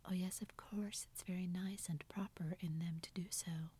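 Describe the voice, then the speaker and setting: A woman's voice: on the low side, steady tone, normal speed, mellow, breathy edge. A woman whispering the part of a haughty, condescending English young lady in a storybook reading. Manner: patronizing.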